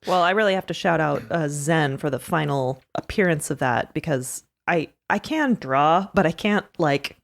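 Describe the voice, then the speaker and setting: Low, creaky-voiced woman. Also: moderate pace, hardly any pauses. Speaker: podcast artist and performer, guest. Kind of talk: casual chat.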